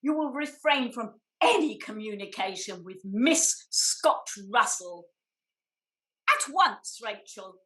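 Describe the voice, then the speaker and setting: A woman's voice: a little high, lively intonation, takes it slowly, a bit bright. An English woman acting an imperious, snobbish Victorian society mother in a radio play. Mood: offended, scornful. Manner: haughty, grandly theatrical.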